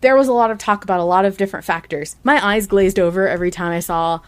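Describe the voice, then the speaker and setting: Woman chatting on a fan podcast, candid n analytical, casual talk, mid pitch voice. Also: quick pace, smooth. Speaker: podcast host.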